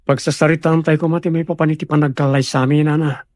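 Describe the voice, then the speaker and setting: Radio drama lead: sincere, devoted Ilocano son, played by a man, fairly high pitch, close dry recording. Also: level tone, fast, a little mellow, no room sound, lightly acted. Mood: earnest. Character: earnest, dutiful grown son, devoted suitor.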